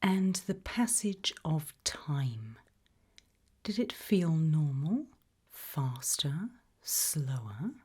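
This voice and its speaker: Female, British, low-pitched and breathy. Gentle, whispered ASMR interviewer, calm and reassuring. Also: very slow. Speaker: ASMR roleplay host playing an abduction investigator.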